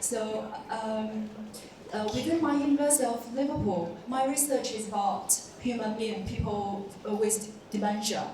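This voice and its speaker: A woman's voice: fairly high pitch, normal speed. A woman in her thirties from China, a doctoral student introducing herself on a panel in a friendly, joking and slightly nervous way. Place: large conference hall. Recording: echoey hall, distant mic, some noise.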